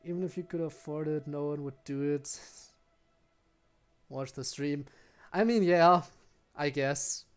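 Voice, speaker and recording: A man's voice: on the high side, measured pace, smooth. A man giving casual, rambling live game commentary, musing aloud to his viewers. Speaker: game streamer.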